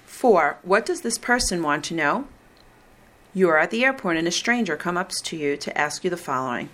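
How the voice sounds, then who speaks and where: A woman reading the script of a recorded listening exam in a slow, deliberate, evenly paced way. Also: fairly low pitch, lively intonation, a bit bright. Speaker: exam reader.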